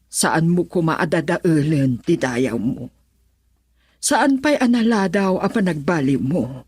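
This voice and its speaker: Husky, pleading Filipino wife. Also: female voice, fairly low pitch, darkish tone, dry recording, clean studio sound, heavily acted. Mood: hurt, pleading. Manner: earnest, emotional. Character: wronged, pleading wife and mother. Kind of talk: radio drama acting.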